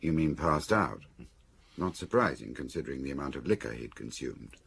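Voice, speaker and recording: An actor playing a dry, sceptical policeman in a radio drama, gravelly, reading out notes in a clipped, telegraphic way. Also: a bass voice, lively intonation, normal speed, a bit bright, exaggerated voice. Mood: sardonic. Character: dry, sceptical policeman reading back a murder suspect's statement.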